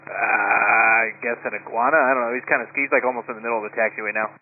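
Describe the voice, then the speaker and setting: Pilot on a tinny radio. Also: male voice, mid-range voice, fairly even intonation. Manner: unsure, halting with fillers. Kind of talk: radio call to the tower. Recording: tinny radio transmission with faint noise.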